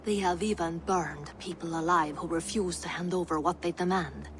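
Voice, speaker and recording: A woman playing a capable, fiercely loyal Norse woman in a video game, formal, dry and measured in her speech. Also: fairly low pitch, steady tone, fairly bright tone, slight background noise, natural voice. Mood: grave. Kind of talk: dramatic video game dialogue.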